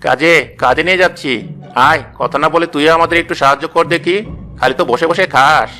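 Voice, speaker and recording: A man's voice: on the high side, fairly quick, sharp tone, soft background music. A man voicing a cunning, bossy young village brother who schemes, in a fairy-tale cartoon. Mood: crafty.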